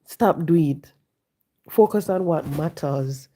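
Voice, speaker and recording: A woman, hoarse and congested from the flu, talking on a casual live stream, preaching and repeating her points to viewers from home. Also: sits low, slow, dark tone. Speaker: livestream host. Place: home, sitting.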